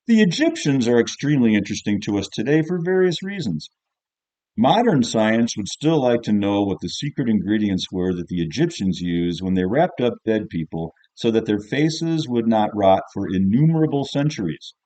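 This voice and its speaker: A man voicing a sarcastic old male history teacher in an audiobook, scolding and insistent, with slow, drawn-out emphasis. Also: on the low side, expressive, mellow, pitched above the performer's own voice, lightly acted, low-quality recording. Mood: sarcastic, mocking. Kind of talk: audiobook character voice.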